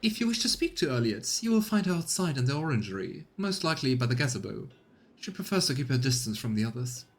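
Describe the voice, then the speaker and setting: A man's voice: medium pitch, sing-song, fast, pitched above the performer's own voice. A man voicing an anxious, haughty noblewoman governor in a formal, obsequious, theatrical manner for a video game. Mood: deferential, disdainful.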